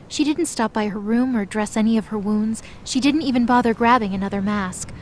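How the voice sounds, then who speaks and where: A woman's voice: a little high, a little fast. Woman narrating a story over noise. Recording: clearly noisy background.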